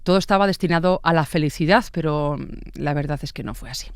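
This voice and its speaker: Casting: Spanish man, podcast host, slightly high voice, dry studio sound, chatty scripted narration. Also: fast, smooth, dry recording. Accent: Spain Spanish. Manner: conversational, with rhetorical asides. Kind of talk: scripted true-crime narration.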